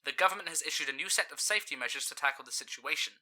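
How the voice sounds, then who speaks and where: A man's voice: a little high, racing, sharp tone. An actor playing a man in an audio drama. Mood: formal.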